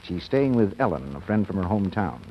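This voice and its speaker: A man's voice: sits low, big pitch swings, a little fast. A man with an American accent announcing and reading adverts in polished, emphatic 1940s radio style. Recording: muffled, noisy old radio recording.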